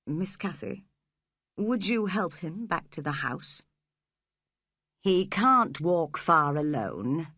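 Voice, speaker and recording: A menacing, scheming man, as a woman reading a storybook puts him on, low and unhurried, giving quiet threats and curt orders. The recording is dry and close. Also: animated, no echo, exaggerated voice. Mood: coldly menacing.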